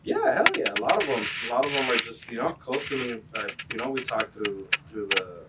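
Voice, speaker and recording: A man's voice: mid-range voice, expressive, fairly quick. A man from Los Angeles, a guest in a casual chat, laid-back and rambling, swearing often. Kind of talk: casual chat over a beer pong game. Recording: distant mic with loud background noise.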